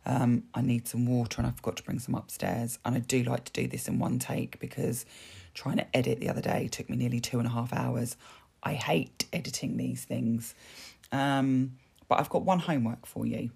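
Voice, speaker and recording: A woman hosting a motivational self-help podcast, talking earnestly with a hoarse, dry voice, repeating words and using fillers as she goes. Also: extremely low pitch, steady tone, moderate pace, a little mellow. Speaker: podcast host.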